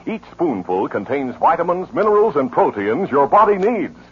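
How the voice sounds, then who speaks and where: A man announcing and narrating a 1950s radio western, booming and emphatic in scripted delivery, on a dull old broadcast recording. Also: sing-song, normal speed, breathy edge.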